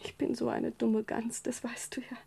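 An actress playing a weak, frightened, loving young hospital patient with a soft, breathy voice, in a 1970s German radio crime drama. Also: female voice, a little high, flat delivery, normal speed, in a whisper, heavily acted. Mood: anxious confiding. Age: young adult.

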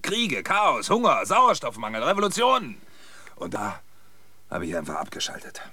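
A low-voiced, derisive old cynic you would hear confessing his life's swindle across a kitchen table in a late-night radio play. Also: fairly bright tone, no room sound. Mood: agitated, bitter. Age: older. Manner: derisive laughing, reflective, halting. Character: bitter, mocking old man, weary self-confessed fraud. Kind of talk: German radio drama acting.

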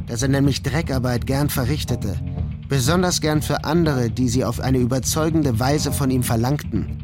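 Male radio-play narrator over guitar music. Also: medium pitch.

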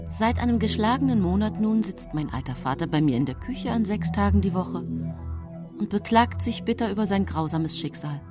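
An actress playing a bereaved, secretly calculating mother, telling her story in measured, solemn radio drama narration. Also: medium pitch, animated, over music, lightly acted. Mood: controlled.

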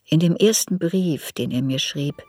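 An actress playing an older woman writer who soberly looks back on her youth in a measured, reflective radio drama narration. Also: low-pitched, darkish tone, close to an everyday voice. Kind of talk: literary radio drama narration in first person.

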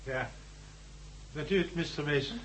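A man's voice: fairly high pitch, unhurried, husky, low-quality recording. An actor playing a helpless, newly blind male surgeon who speaks hesitantly in a 1960s Dutch radio drama. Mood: shaken, lost. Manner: hesitant, repeating words. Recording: hissy 1960s radio recording.

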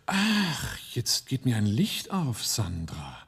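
An actor playing a wry, unflappable smuggler and husband in a German radio play, dry and mocking. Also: male voice, mid-range voice, wildly swooping pitch, takes it slowly, gravelly, a bit bright, husky, heavily acted. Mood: mock-polite, bold.

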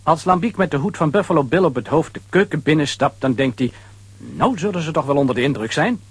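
A male storyteller narrates a children's audio play. His voice is rather high and very husky, with animated pitch and a conversational, dramatic delivery. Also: brisk, dry recording.

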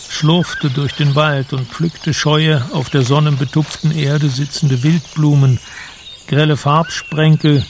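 A man's voice: mid-range voice, creaky, noisy background. A man narrating a radio drama in a literary, scripted storytelling style.

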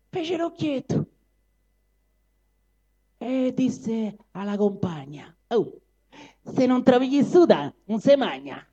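A man's voice: extremely high pitch, gravelly, darkish tone, pitched above the performer's own voice, exaggerated voice. A man voicing a sly snake, telling verse knowingly with a Roman accent. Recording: dull live recording.

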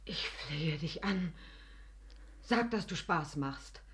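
Middle-aged rejected lover in radio drama, drawn out and pleading, emotional, often hushed. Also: female voice, deep voice, lively intonation, slow, heavily acted. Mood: wounded, reproachful. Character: aging, spurned longtime companion and actress, imploring, weary. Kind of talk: classic German radio play acting.

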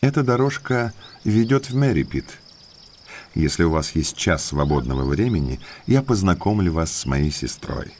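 Polite mysterious naturalist guy, really low voice, audio drama, faint cricket noise behind. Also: sing-song, normal speed, darkish tone. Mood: courteous, intriguing. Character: well-mannered male naturalist, eager guide to eerie moors. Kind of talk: Russian audiobook drama acting.

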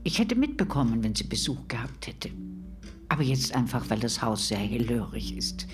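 An actress playing a flustered, defensive female neighbour in a radio play, halting and self-conscious, often half-whispering. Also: extremely low pitch, very animated, music in the background. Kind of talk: naturalistic radio play acting. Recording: noticeable background noise.

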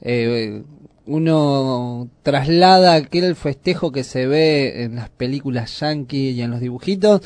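A man's voice: medium pitch, a little slow, darkish tone, no room sound. An Argentine man hosting a radio show, chatting casually at a studio microphone. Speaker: radio host.

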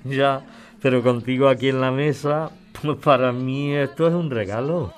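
A man's voice: mid-range voice, moderate pace, faint music behind, natural voice. A man acting an older, old-fashioned, devoted suitor from in a podcast drama, earnest and a little hesitant. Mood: weary, flattering. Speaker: actor in an audio drama.

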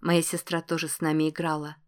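A woman's voice: low voice, monotone, fairly quick, natural voice. A woman voicing a guarded, emotional teenage girl in an audiobook, choosing her words carefully as she shares memories. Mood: wistful, opening up. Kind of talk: audiobook character dialogue.

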